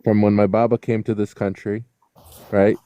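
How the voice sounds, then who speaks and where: A man's voice: lowish voice, flat delivery, moderate pace, warm tone, smooth, no echo, highs cut off. A man with a Chicago accent, Russian his first language, telling stories as a guest in casual talk, full of fillers and restarts. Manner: rambling storyteller, many fillers and restarts. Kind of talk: casual conversation.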